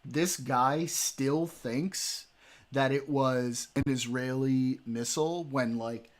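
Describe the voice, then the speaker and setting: A man's voice: mid-range voice, fairly even intonation, slow. A young adult man streaming, talking in a loose, joking, profane way while reacting to a debate. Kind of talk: casual livestream commentary.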